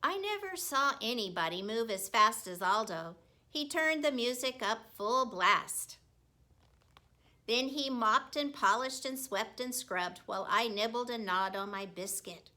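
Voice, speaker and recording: A woman narrating a children's storybook like a schoolteacher, expressive and deliberate. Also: fairly high pitch. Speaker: teacher reading a story.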